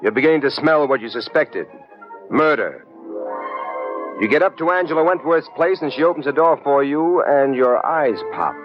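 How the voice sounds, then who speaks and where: A man's voice: on the high side, expressive, normal speed, over music, lightly acted. Hard-boiled American private eye on muffled old radio, played by a man. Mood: hard-boiled narrating. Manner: tough, slangy, hard-boiled. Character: brash, wisecracking male private eye narrating his case. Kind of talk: 1940s-50s radio detective drama acting.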